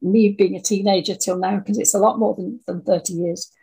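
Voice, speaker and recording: An older woman, over sixty, talking reflectively in an interview, thoughtful and halting as she repeats words. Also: mid-range voice, fairly even intonation, creaky, dark tone, slightly rough, poor audio. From Scotland. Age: 60s or older. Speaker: interview guest, audio dramatist.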